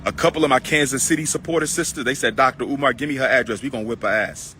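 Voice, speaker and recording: A man's voice: mid-range voice, quick pace, bright, forceful tone, a little hiss or hum. A middle-aged man hosting a livestream, preaching and ranting to viewers he calls brothers and sisters, then chatting with a caller. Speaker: livestream host. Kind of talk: heated livestream rant and caller chat.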